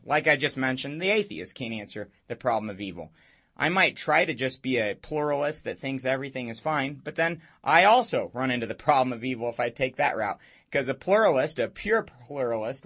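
Man, hoarse and raspy, husky tone, earnest radio host, explanatory talk. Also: mid-range voice, fairly bright tone. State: hoarse from a cold.

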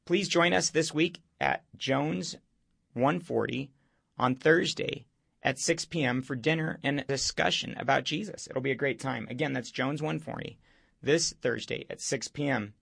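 A male radio show host, hoarse this morning, speaking in an earnest, preaching way. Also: medium pitch, moderate pace, a bit bright, dry recording, clean studio sound. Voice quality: gravelly. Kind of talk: evangelistic radio talk.

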